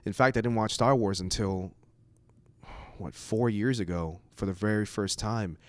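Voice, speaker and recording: A man's voice: lowish voice, normal speed, clear, resonant tone, dry recording. A man from the United States whose first language is Cantonese, speaking as a comedian in a casual, rambling, profane monologue. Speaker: stand-up comedian.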